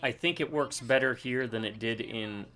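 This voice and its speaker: Man, mid-pitched voice, casual gaming chatter, joking, thinks aloud. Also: moderate pace, sharp tone. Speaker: co-op game player. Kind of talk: casual gameplay chat.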